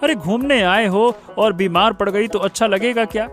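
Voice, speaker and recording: A man's voice: sits high, moderate pace, a bit bright, soft background music. A man voicing a romantic, attentive young husband in a cartoon story, dreamy and affectionate. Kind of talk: animated moral story dramatization.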